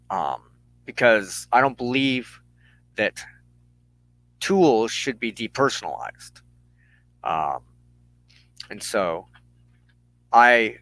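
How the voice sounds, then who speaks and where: Male host, lowish pitch, unhurried and halting delivery, informal announcements, close dry sound. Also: fairly bright tone, no room sound, rough recording. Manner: halting, with pauses mid-phrase. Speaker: broadcast host and tarot reader.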